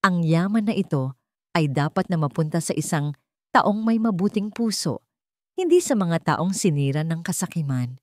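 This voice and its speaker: A woman voicing a solemn, remorseful old male hacienda patriarch in a dramatic audio storybook, speaking gravely and deliberately. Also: on the low side, big pitch swings, dry recording, clean studio sound.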